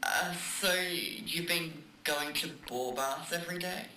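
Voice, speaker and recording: A woman's voice: on the low side, slow pace, sharp tone. A woman playing a blunt, sceptical podcast producer with a dry, questioning manner, heard over speakerphone. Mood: concerned, firm. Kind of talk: naturalistic audio drama dialogue. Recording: distant echoey speakerphone, clear noise.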